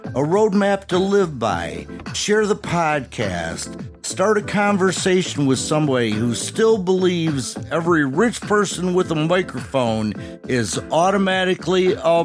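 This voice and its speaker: A man's voice: fairly high pitch, sing-song, a little slow, over music. A man co-hosting a podcast, delivering scripted commentary in short, punchy rhetorical phrases with wry humour. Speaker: podcast co-host.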